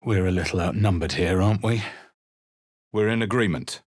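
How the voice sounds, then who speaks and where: A brooding fantasy adventurer for a video game. The voice sits very low with a husky grain, recorded dry and close. Delivery is measured, intense and theatrical. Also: male voice, dry recording. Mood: wary, musing. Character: male fantasy adventurer hero, brooding, wary, resolute. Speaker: player character voice. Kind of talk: scripted video game voice lines, dramatic narration.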